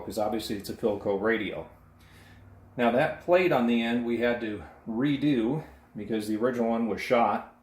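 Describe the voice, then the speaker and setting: A man's voice: on the low side, darkish tone. A man giving a hands-on demonstration as a restorer, explaining methodically and in detail. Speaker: radio restorer presenting his work.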